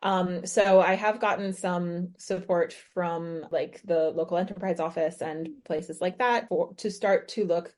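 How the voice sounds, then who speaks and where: A woman's voice: fairly low pitch, steady tone, a little slow, few pauses, darkish tone. An American woman in her thirties, a guest on a podcast, talking thoughtfully with frequent restarts. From United States. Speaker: guest, author and retreat host. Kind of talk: casual podcast interview. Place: her home in rural Ireland.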